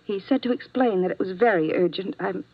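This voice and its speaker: A woman's voice: medium pitch, fairly quick, no echo, close to an everyday voice. An earnest young nurse played by a woman in an old radio drama. She is polite and proper but anxious, with a mid-range voice. The recording is dry and dull, like a 1950s broadcast. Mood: anxious, urgent.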